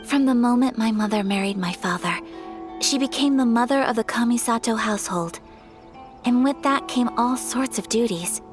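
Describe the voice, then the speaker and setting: A woman playing a gentle, wistful young noblewoman. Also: a little high, lightly acted. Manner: soft, measured, wistful. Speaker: noble daughter of a clan. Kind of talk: game voice acting.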